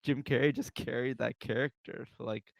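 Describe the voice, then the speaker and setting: A man's voice: mid-range voice, fairly even intonation, normal speed, gravelly. A man with a mid-range voice chats on a podcast in a blunt, casually profane way. The sound is dry, with no room echo. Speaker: podcast co-host. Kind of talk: casual movie discussion chat.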